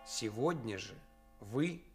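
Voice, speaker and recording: Актёр в радиоспектакле 1980-х играет молодого жениха, который строит из себя наглого авантюриста, с театральной манерой и паузами. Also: male voice, medium pitch, expressive, faint music behind. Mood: brazen, insinuating. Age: young adult. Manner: deliberate, theatrical, halting pauses. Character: young actor suitor playing a brazen swindler. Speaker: radio drama actor. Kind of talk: 1980s Soviet radio play acting.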